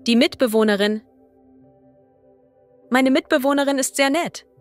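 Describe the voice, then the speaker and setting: A woman as a language teacher reading idioms and vocabulary slowly and clearly. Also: sits high, dry recording, soft background music. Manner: slow, clear and deliberate.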